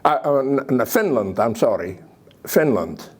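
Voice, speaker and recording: An older man with a Belgian accent giving a public talk about his memories, speaking haltingly with pauses. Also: mid-range voice, animated, a little mellow, slightly rough. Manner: halting, hesitant delivery with pauses. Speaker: guest speaker.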